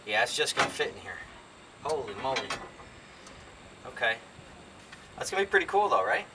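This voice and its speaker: An older American man talks casually to himself as he works on a DIY job. His voice sits at an average pitch and his delivery is folksy and rambling. The camera mic is some way off in an open van, with strong noise around him. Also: very animated, moderate pace. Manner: folksy, rambling, talking to himself while working. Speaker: DIY vlogger. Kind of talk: casual chat while doing a hands-on job. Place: inside open cargo van beside a garage, outdoors. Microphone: camera a few feet away. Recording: distant camera mic, loud noise, clicks.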